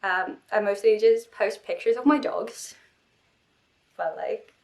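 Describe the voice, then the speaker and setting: A young woman vlogging casually about her costume, rambling and self-deprecating with halting restarts, stuffy with a cold. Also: mid-range voice, a little mellow. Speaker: cosplay vlogger. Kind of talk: casual vlog talk.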